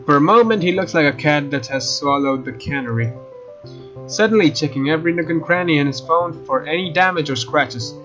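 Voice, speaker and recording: A man's voice: fairly high pitch, a little fast, a bit bright. A man hosting a let's play, reading a visual novel's story narration aloud. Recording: dull, lo-fi microphone sound. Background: guitar game music.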